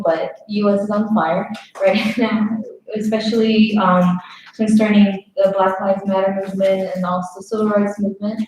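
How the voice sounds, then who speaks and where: A woman's voice: mid-range voice, darkish tone. A young woman panelist from Texas speaking earnestly, with many "like" and "um" fillers. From Texas, United States. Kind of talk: panel discussion. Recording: very echoey with faint noise.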